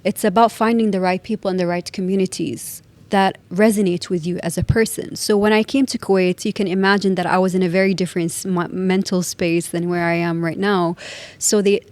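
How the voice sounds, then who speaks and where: Earnest woman, low voice, dry studio sound. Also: fairly even intonation, a little mellow, no echo. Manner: earnest, thoughtful, speaks in measured repeated phrases. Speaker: podcast guest. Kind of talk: reflective podcast conversation.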